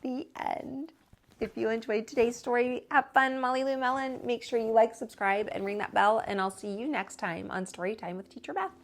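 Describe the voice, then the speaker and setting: A woman reading a children's picture book aloud as a storytime host, with expressive, drawn-out emphasis. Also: on the high side.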